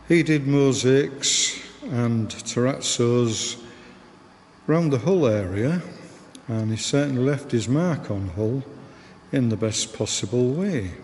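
A man with a Northern English accent, giving a slow, gentle guided tour inside a large church, recorded on a handheld camera. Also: mid-range voice. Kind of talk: unscripted guided tour voice-over. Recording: reverberant church hall, faint background noise.

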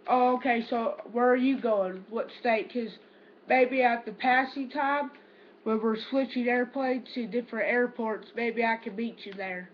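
A man's voice: extremely high pitch, fairly even intonation, unhurried. Teenage boy, muffled noisy webcam sound. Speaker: prank caller. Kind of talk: prank phone call, casual improvised talk. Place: small room at home, indoors. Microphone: webcam or laptop microphone. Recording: dull, noisy webcam microphone.

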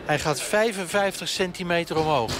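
A man's voice: a little high, moderate pace, a crowd in the background. A male radio reporter asking breezy, joking questions in a bowling alley, holding a handheld mic. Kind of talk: on-location radio interview. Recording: on-location radio report, background noise. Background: bowling alley ambience.

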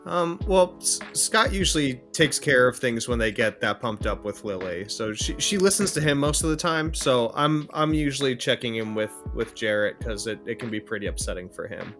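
A man playing a soft-hearted parent in an improvised role-play, speaking in a halting, placating way. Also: mid-range voice, expressive. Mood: hesitant, agreeable. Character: soft-hearted parent soothing a young child. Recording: music bed under the voice.

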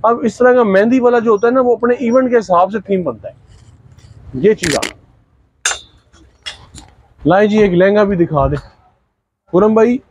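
Chatty young shop owner, bright tone. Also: male voice, high-pitched, moderate pace, pauses often, lo-fi sound, slight background noise. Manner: chatty, teasing, salesman's patter. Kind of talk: casual sales pitch. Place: busy indoor dress shop.

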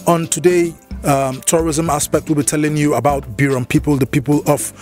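A man's voice: fairly high pitch, steady tone, a little fast, a little mellow, soft background music. A middle-aged man with a Nigerian accent hosting a show outdoors, speaking casually into a handheld mic with lots of fillers and sing-song stresses. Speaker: show host. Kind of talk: casual presenting to camera.

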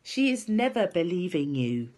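A woman's voice: lowish voice, very animated, moderate pace, darkish tone, voice pushed up. A woman voicing a big, friendly but stubborn male giant who talks in muddled grammar and invented words for a storybook. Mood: doubting.

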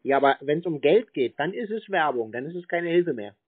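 A man's voice: a little high, expressive, brisk, dry recording. A man in an argumentative phone conversation, stubbornly needling and repeating himself as he baits the caller. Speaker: prank-calling scam baiter. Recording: narrow phone-like sound.

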